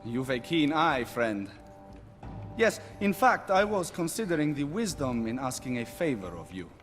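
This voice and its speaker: A proud mercenary swordsman in a fantasy game. He speaks formally at a medium pitch, with music clearly playing behind him. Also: big pitch swings, moderate pace, fairly bright tone. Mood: confiding. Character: boastful swordsman, former soldier, proud yet loyal bodyguard. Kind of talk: video game dialogue.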